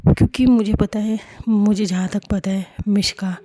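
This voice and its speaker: A woman's voice: mid-range voice, steady tone, normal speed, dark tone, natural voice. A woman voicing a young woman who confronts her friend with sarcastic, accusing questions.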